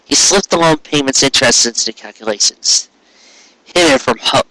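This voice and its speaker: A man narrating scripted fiction as a storyteller, with an expressive, dramatic and florid delivery. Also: mid-range voice, normal speed, no echo, low-quality recording.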